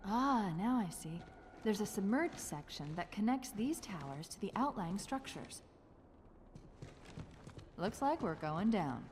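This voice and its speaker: A woman's voice: medium pitch, sing-song, a little slow, long pauses, noisy background. An actress voicing a clever, wry female AI companion in a video game, articulate and analytical. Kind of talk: cinematic video game voice acting. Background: loud dramatic music.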